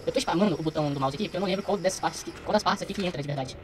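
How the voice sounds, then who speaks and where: Young adult Brazilian male gamer, quick casual muttered commentary, slangy, noisy background. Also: high voice. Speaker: gaming streamer. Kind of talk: casual let's play commentary.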